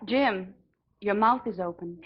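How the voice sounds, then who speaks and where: A woman's voice: medium pitch, measured pace, lightly acted. A woman playing a sensible middle-aged housewife and mother in a 1950s radio family comedy. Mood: composed. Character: sensible, peacemaking housewife mother with some fire. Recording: muffled, hissy 1950s radio recording.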